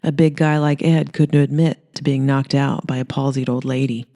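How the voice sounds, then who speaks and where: A storyteller narrates a scripted comic horror audiobook. The voice is mellow and relaxed, sitting at a middling pitch. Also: normal speed.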